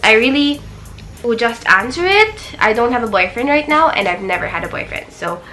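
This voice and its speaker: Young Filipino woman, 20, beauty vlogger; bright, chatty code-switching delivery, soft music underneath. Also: a little high, lively intonation, moderate pace. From Philippines. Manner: chatty, switching between English and Tagalog. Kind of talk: casual Q&A chat. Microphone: camera.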